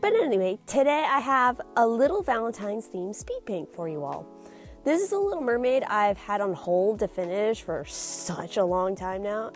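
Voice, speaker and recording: A young woman with a stuffy, nasal voice from a cold, chatting casually through a voice-over for her painting video, with lots of fillers and restarts. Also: a little high.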